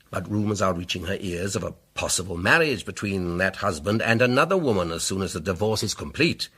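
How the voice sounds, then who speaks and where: An actor playing a pompous, self-assured older English gentleman lawyer in a radio drama, setting out his case with rhetorical deliberation. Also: lowish voice, breathy edge, full character voice.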